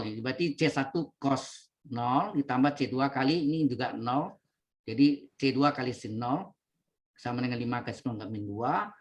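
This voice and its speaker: A male lecturer, walking students through a calculus problem on a video call, repeating each step and often checking in with them. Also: a little high. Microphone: computer mic on video call.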